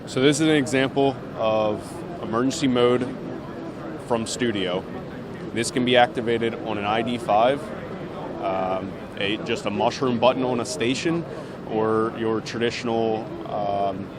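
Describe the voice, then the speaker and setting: A man's voice: mid-range voice, a little slow. A man giving an unscripted, step-by-step demonstration of a product. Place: indoor trade show booth. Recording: busy trade show crowd behind.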